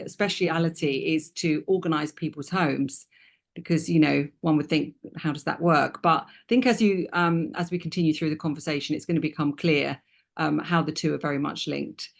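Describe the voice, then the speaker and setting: A woman's voice: low voice, moderate pace, vocal fry. A woman hosting an interview, who welcomes her guest in a friendly, enthusiastic way with many hesitations. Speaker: host. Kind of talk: casual interview.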